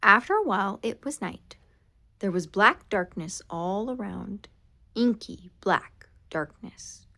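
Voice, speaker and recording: Female storybook reader for children: expressive and dramatic, slow delivery with very animated intonation. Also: medium pitch, gravelly. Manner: dramatic, expressive read-aloud with drawn-out words. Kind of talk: scripted children's storytime narration.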